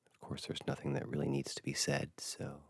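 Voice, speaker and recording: A man leading a meditation with an unscripted dharma talk, speaking gently and haltingly, with hushed phrases and long pauses. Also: a bass voice, completely flat delivery, creaky, breathy edge, no room sound, studio quality. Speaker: meditation guide.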